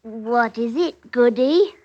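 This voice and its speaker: An actress playing a bold, resentful young servant wench in old-time radio drama, with rustic, archaic phrasing. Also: female voice, on the high side, expressive, slow pace. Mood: cold. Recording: muffled vintage radio recording.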